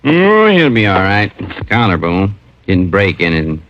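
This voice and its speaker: An American actor playing a plain-spoken, matter-of-fact rural doctor in an old radio drama. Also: male voice, sits low, huge pitch swings, a little slow, a bit bright, slight background noise, exaggerated voice. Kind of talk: 1940s-50s radio drama acting. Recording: dull vintage radio recording.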